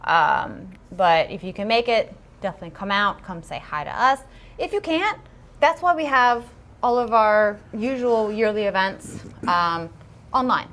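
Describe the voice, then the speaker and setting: A woman's voice: medium pitch, expressive, a little slow, a bit bright. A woman co-hosting a casual livestream, chatty and upbeat, with plenty of ums and a self-deprecating streak. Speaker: livestream co-host.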